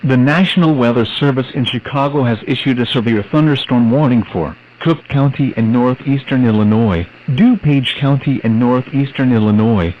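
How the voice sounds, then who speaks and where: Male weather radio announcer, muffled and noisy. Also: mid-range voice. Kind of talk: scripted emergency weather bulletin.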